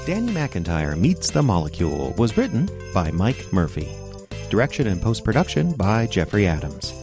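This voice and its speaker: A man's voice: fairly low pitch, huge pitch swings, moderate pace, music in the background. A man reading scripted narration and announcements for a children's radio play.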